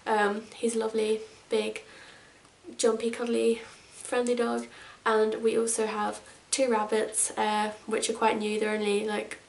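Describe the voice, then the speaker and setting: A woman's voice: fairly high pitch, completely flat delivery, measured pace, gravelly, slight background noise. A woman in her twenties from England, chatting casually to camera as a vlogger, rambling with lots of fillers. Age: 20s. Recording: echoey room, mic at a distance.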